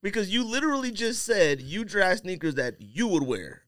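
Animated, slangy man in close, dry sound. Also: high voice, moderate pace, no echo. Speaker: podcast host. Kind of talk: casual sports-draft banter.

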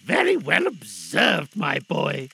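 A man's voice: high voice, sing-song, measured pace, gravelly, bright, forceful tone, exaggerated voice. A man voicing a cheerful, tender-hearted working-class father fighting back grief, earnest and affectionate, in a dramatised storybook reading. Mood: warmly eager.

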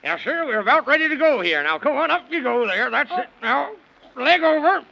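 Whimsical moon man, very high-pitched, fussy and chirpy, animated, for vintage children's radio. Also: brisk, vocal fry, full character voice. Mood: hurrying, bossy. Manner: excitable, chuckling, bossy. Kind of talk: 1930s children's radio serial acting. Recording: muffled vintage 1930s radio recording.

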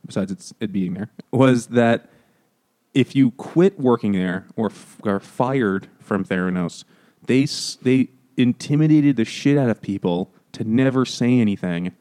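A man's voice: mid-range voice, warm tone. A man co-hosting a casual book and beer podcast, rambling, swearing and laughing often. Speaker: podcast co-host. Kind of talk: casual chat over beers.

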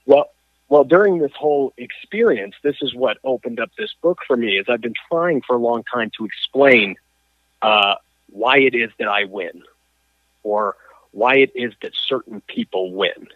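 A man, a coach and athlete, telling his story as an interview guest, halting with frequent restarts and fillers. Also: medium pitch, lively intonation, no echo. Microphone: phone or call line. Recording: tinny phone line.

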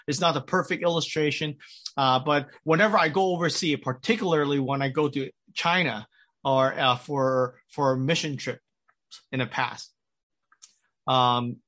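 A pastor delivering a sermon with an American accent, measured and pausing, with frequent restarts. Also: male voice, on the high side, a bit bright. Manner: measured preaching with pauses and restarts.

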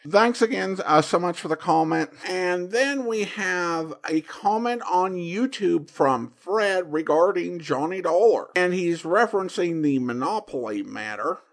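A man presenting a podcast in a somewhat high voice with swooping, animated pitch. His delivery is conversational and earnest, halting as he comments and signs off. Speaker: podcast host. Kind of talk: casual listener-mail commentary and sign-off.

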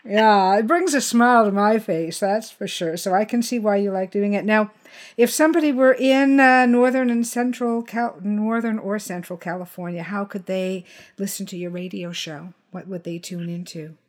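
Female interview host, average pitch, conversational delivery, effusive and encouraging. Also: normal speed. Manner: effusive, affirming. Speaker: podcast host interviewing a writer.

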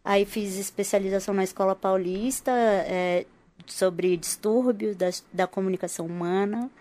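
A woman's voice: medium pitch, steady tone, moderate pace, dark tone. A woman from Rio de Janeiro, a podcast guest, talking casually with many restarts and laughs. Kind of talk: casual chat.

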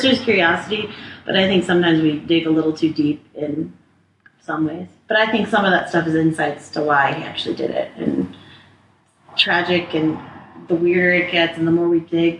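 A woman's voice: low voice, fairly bright tone, slight background noise. A woman guest on a podcast, talking thoughtfully and laughing easily. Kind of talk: casual chat. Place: apartment. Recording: roomy home recording.

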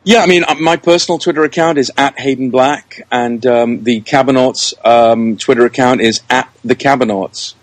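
Male interview guest, medium pitch, conversational delivery, close and dry sound. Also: steady tone, normal speed, few pauses, no room sound. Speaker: interview guest, show producer. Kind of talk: casual interview chat.

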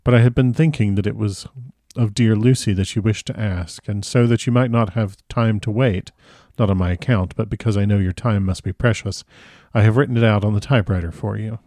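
A well-mannered young wife in a classic storybook, voiced by a man. She is modest and proper in how she speaks. The tone is mellow and dry, close to an everyday voice. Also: fairly low pitch, fairly even intonation, a little fast, no echo, natural voice. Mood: polite, apologetic. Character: polite, demure young married woman diarist.

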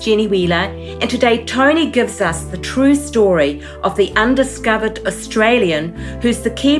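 A woman hosts a book podcast, reading her intro clearly and then asking questions in a friendly tone. Her pitch sits in an ordinary middle range. Soft music plays faintly underneath. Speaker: podcast host. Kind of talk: scripted intro and interview questions.

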